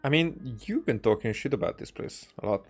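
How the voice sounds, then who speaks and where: A man giving casual, rambling game commentary as a streamer, speaking haltingly and often repeating and restarting his phrases. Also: mid-range voice, sing-song, over music. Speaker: livestreamer playing a game.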